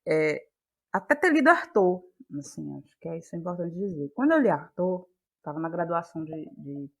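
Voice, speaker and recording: A woman, an interview guest explaining in a searching, conversational way and repeating phrases for emphasis. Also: fairly low pitch. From Bahia, Brazil. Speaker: interview guest, playwright and actress.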